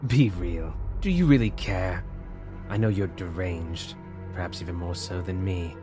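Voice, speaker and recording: Audio drama villain: male actor, fairly low voice, cold and disdainful, curt threats, music behind. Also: measured pace. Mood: menacing.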